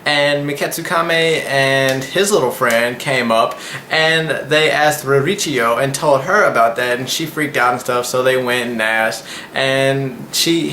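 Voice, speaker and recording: A man's voice: medium pitch, sharp tone. A man in his twenties hosting a casual anime review, rambling and slangy as he recaps. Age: 20s. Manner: slangy, rambling, loose phrasing. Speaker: anime reviewer and channel host. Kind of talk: casual vlog episode recap. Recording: echoey room with faint background noise.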